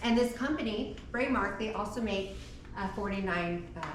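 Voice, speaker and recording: A woman's voice: medium pitch, normal speed. A woman chatting casually in an informal, gushing way, peppering her sentences with "like". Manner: informal, gushing, often says "like". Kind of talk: casual chat. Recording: echoey, distant mic, noisy background.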